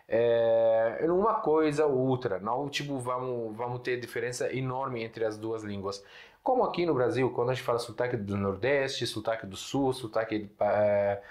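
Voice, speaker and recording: Syrian man teaching, echoey room. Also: mid-range voice. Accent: Syrian Arabic. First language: Arabic. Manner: explains slowly, stretches example words, frequent fillers and restarts. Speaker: Arabic teacher. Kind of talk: casual online language lesson.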